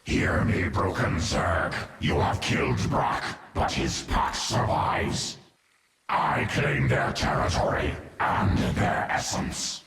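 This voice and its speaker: A man's voice: deep voice, sing-song, takes it slowly, a bit bright, heavily acted. A man voicing a savage, menacing alien pack leader in a video game, growling short declamatory threats. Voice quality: growling, monstrous. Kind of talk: video game villain acting. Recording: echoing, distant, noisy with long reverb.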